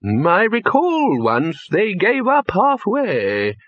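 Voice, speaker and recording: A man voicing a very old, rambling male wizard who reminisces in a high, quavering, chuckling voice. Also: huge pitch swings, slow pace, dry recording, heavily acted. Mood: gleefully rambling. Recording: dull lo-fi, dry.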